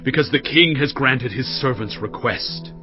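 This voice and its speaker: A man's voice: medium pitch, unhurried, lo-fi sound, soft background music, lightly acted. An actor playing a grateful, reverent male servant addressing his king formally in a dramatized audio Bible. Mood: grateful, humble.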